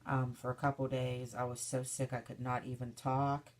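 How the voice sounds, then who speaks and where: Low-voiced woman, stuffy and hoarse. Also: flat delivery, moderate pace, a little mellow. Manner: chatty, narrating each step as she works. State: stuffy and slightly hoarse from illness. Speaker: soap maker demonstrating her craft. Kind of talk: casual step-by-step demonstration.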